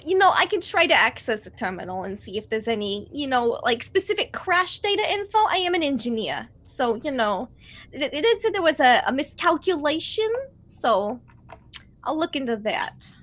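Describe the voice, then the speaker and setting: A woman's voice: high voice, very animated, normal speed, a bit bright. A woman improvising in-character dialogue as a player in a tabletop roleplaying game, speaking in a tentative way.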